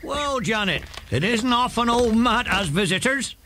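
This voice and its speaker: An actor playing an old, plain-spoken country shepherd in a children's audio drama, slow and full of wonder. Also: male voice, very high voice, lively intonation, pushed and bright, slight background noise, full character voice. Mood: gossiping, wary. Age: older.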